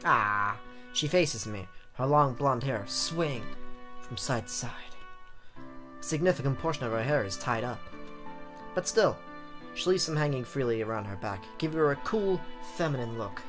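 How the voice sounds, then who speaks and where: Male let's play host, medium pitch, theatrical reading, playful asides, faint game music. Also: animated, moderate pace. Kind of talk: reading a visual novel aloud with jokey asides.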